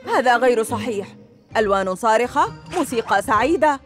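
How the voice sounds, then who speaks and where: A woman's voice: fairly high pitch, expressive, a little fast, natural voice. A woman voicing a boastful, bossy young ninja villain in a dubbed cartoon, gloating and commanding. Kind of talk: Arabic cartoon dub. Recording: studio dub with loud music.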